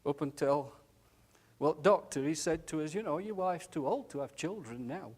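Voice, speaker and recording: A man voicing an elderly widowed nursing home resident who talks slowly, haltingly and tenderly, often saying "aye". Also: fairly high pitch, lively intonation, a little mellow, breathy edge. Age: older. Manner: slow, halting, chuckling, says "aye" often.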